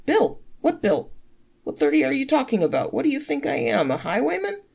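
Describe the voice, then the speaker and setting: A woman's voice: medium pitch, moderate pace, vocal fry, breathy edge, lo-fi sound. A woman doing a sly, coaxing male innkeeper. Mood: mock-offended.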